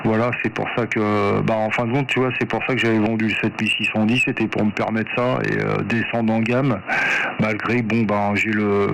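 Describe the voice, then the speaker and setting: A man's voice: lowish voice, level tone, moderate pace, creaky. A man in a casual, rambling, colloquial chat as a radio operator on a two-way radio, often correcting himself. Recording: noisy two-way radio audio, static.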